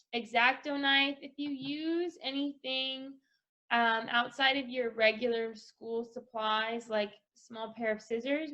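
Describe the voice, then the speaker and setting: A woman presenting a casual step-by-step craft tutorial for children, speaking in an instructional way with pauses between phrases. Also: sits high, a bit bright, highs cut off. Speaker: craft presenter for a children's read-aloud project.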